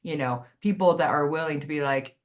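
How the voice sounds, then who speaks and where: A woman's voice: low voice, a little fast. A woman hosting a live interview, encouraging her guest and often checking in with "right?". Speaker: host. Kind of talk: casual interview chat.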